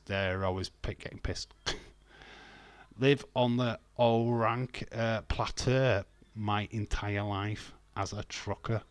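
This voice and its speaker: Man, thick Yorkshire accent, casual livestream chatter, jokey and sweary, fairly low pitch, dry close sound. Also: no echo. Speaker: wrestling watch-along streamer.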